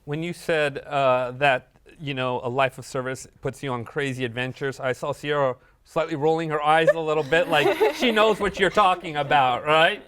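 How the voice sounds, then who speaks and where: A host interviews guests on a faith talk show, affirming their answers and asking follow-up questions. The voice has an ordinary mid-range pitch. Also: moderate pace, a bit bright.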